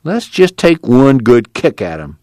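A man's voice: medium pitch, very animated, a little slow, mellow, no echo, clean studio sound, pitched above the performer's own voice. A man voicing a scrappy young guard with a wheedling drawl. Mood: eager.

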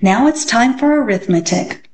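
A woman's voice: a little high, lively intonation, normal speed, a little mellow, voice pushed up, close to an everyday voice. Firm female teacher as read by a woman, instructive, unflappable, storybook character, echoing room, background hiss. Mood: brisk, unflappable. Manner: firm, matter-of-fact, instructive.

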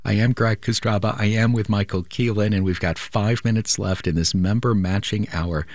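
A man's voice: on the low side, fairly even intonation, normal speed, dry recording, studio quality. A male radio host making an earnest, persuasive appeal during a pledge drive.

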